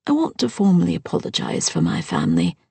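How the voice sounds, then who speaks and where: A woman voicing a gracious older mother-in-law who speaks formally and softly, at times near a whisper. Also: fairly low pitch, huge pitch swings, fast, gravelly, husky, no echo, exaggerated voice. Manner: formal and soft-spoken.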